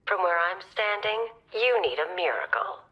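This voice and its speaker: A woman playing an aloof, seductive plant villainess in a video game, sly and sardonic, with a husky, breathy voice. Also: fairly low pitch, lively intonation, normal speed, lo-fi sound. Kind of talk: video game cutscene acting.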